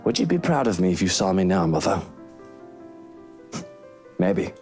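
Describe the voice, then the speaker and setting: A man voicing a brooding young gentleman in mourning, speaking slowly and wistfully in a dramatic visual novel style. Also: low-pitched, sing-song, faint music behind.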